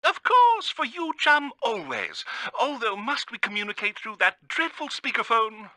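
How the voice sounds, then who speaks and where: An actor playing a pompous, theatrical villainous bird genius in a video game, speaking with a British accent. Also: male voice, very high-pitched, wildly swooping pitch, a little slow, pushed and bright, dry recording, full character voice. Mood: haughty, cheery.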